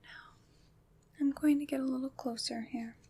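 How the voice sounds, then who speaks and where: A woman playing a gentle, protective witch in an ASMR roleplay, whispering in a soft, reassuring, measured way. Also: high voice, fairly even intonation. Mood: soothing, protective. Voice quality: breathy, unvoiced whisper. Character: gentle, protective witch soothing away nightmares.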